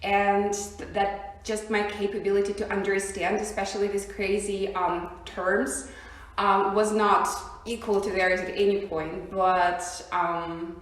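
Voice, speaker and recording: A woman's voice: mid-range voice, little pitch movement, a little slow. Young Russian woman in an echoey room. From Siberia, Russia. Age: young adult. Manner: hesitant, many ums and likes, laughs often. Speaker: guest. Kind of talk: casual interview chat.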